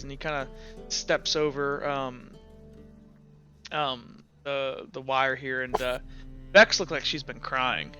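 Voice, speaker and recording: A man improvising narration as a tabletop game master in a casual, halting way. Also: mid-range voice, bright, forceful tone, clear, resonant tone, lo-fi sound. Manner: casual, halting, says "like" and "kinda" constantly.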